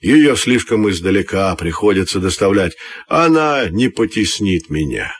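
A man playing haughty, husky King Coal. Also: sits low, big pitch swings, unhurried, no room sound, full character voice. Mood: anxious self-reassurance. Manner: haughty, imperious. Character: proud, quarrelsome Coal, male emperor of a fiery realm.

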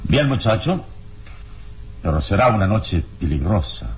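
An actor playing a calm, commanding heroic adventurer in a radio serial, speaking in a measured, formal, authoritative way. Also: male voice, sits low. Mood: grave, composed. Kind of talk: radio serial drama acting.

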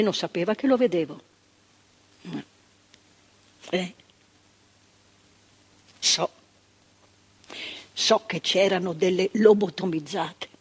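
An actress playing an older woman who once worked in a psychiatric hospital, telling her memories, dropping to hushed tones and rising to emphatic outbursts. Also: medium pitch, close to an everyday voice. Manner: vivid storytelling, swinging from hushed to emphatic outbursts. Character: older woman, former asylum ward worker, indignant. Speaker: monologue performer. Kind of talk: stage monologue.

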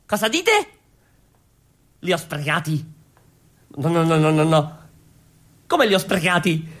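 A man's voice: fairly high pitch, very animated, slow pace, fairly bright tone, full character voice. A man playing a hapless, earnest, clownish revolutionary in theatrical comic radio storytelling, exclamatory and repetitive. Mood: dismayed, pleading. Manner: exclamatory, repetitive, pleading with the listeners. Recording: music under the voice.

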